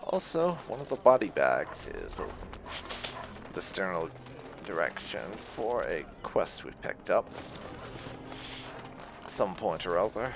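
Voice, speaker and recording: A man's voice: low voice, sing-song, takes it slowly, long pauses, background noise. A man giving casual playthrough commentary, rambling a little as he thinks aloud. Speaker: gaming video host.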